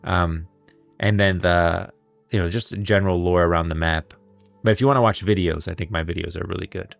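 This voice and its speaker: A man's voice: deep voice, fairly even intonation, fairly quick, creaky, no echo, soft background music. A man hosting a casual livestream, talking about game lore in an enthusiastic, emphatic way. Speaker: livestream host and video creator.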